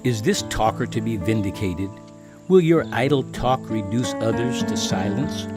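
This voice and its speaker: A man's voice: lowish voice, animated, normal speed, loud music behind the voice, lightly acted. An actor playing an indignant, self-righteous man who scolds and lectures a suffering friend in declamatory scripture drama. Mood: indignant rebuke. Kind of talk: dramatized scripture reading, theatrical oration.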